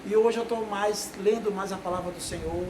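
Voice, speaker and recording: A man's voice: sits high, steady tone, moderate pace. Older man, rambling homemade sermon, noisy background. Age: 60s. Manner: rambling, frequent tag questions. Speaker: lay preacher. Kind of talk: informal video sermon. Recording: echoey room with noise.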